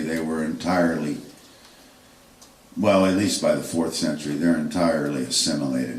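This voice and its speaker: Deep-voiced man lecturing in an echoey room. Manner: rambling, frequent fillers and restarts. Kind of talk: unscripted history lecture. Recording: echoey room, distant mic.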